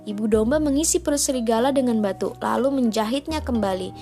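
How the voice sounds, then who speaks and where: A woman narrates a children's fairy tale as its storyteller. The voice is high-pitched, and faint music plays behind it. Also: fairly quick, warm tone.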